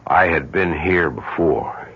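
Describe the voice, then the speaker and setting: An actor playing a sombre, self-reproachful middle-aged doctor who talks haltingly in an old-time radio drama. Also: male voice, low-pitched, husky, heavily acted. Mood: haunted, confessional. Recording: muffled old radio recording.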